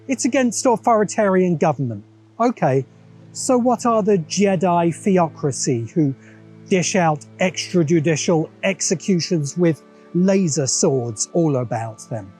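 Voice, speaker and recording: A man narrates a scripted video essay in a soft, relaxed, slightly high voice. He is opinionated and dryly sardonic, with light music playing behind him. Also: a little slow. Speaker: host of a science fiction commentary show. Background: background music.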